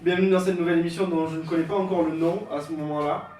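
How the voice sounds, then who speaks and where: A man's voice: fairly high pitch, fairly even intonation, rapid-fire, a little mellow. A young man hosting a casual podcast, hesitant, with many fillers and restarts, and stuffy from a head cold. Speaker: podcast host. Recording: echoey room, mic at a distance, faint noise.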